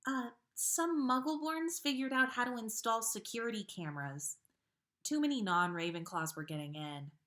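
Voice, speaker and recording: A woman reads as a shy teenage schoolgirl in a storybook tale, pitched up from a normal speaking voice. The girl is flustered and eager to please, stumbling over words in a hesitant way. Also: animated, normal speed, a bit bright. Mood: flustered, friendly. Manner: hesitant, stammering.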